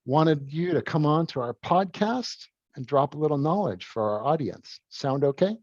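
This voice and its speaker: A man's voice: a little high, animated, normal speed, dry recording, highs cut off. A man hosting a podcast, introducing and questioning his guest in a laid-back, friendly way. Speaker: podcast host. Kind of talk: casual interview.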